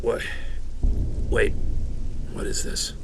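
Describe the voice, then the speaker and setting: An actor playing a frightened, bewildered captive in a radio drama, pleading in fragments. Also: male voice, very high-pitched, wildly swooping pitch, extremely slow, a little hiss or hum, exaggerated voice. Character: frightened, bewildered middle-aged male captive.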